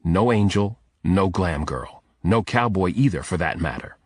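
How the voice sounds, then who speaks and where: Low-pitched male thriller narrator. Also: lively intonation, unhurried, breathy edge, no room sound, studio quality. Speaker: audiobook narrator. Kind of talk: scripted first-person thriller narration.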